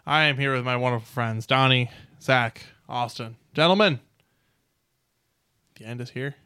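A man's voice: medium pitch, moderate pace, bright, forceful tone, clear, resonant tone, dry recording, clean studio sound. A young man hosting a tabletop game podcast as Dungeon Master, talking loosely and joking with his friends. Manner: loose, self-correcting, joking. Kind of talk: casual podcast chat. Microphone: studio mic.

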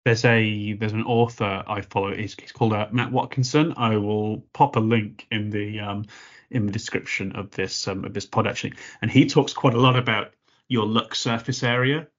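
A man with a fairly low voice hosts a podcast conversation, circling his points with repeated words and fillers. The sound is muffled and lo-fi. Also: fairly even intonation, normal speed. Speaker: podcast host.

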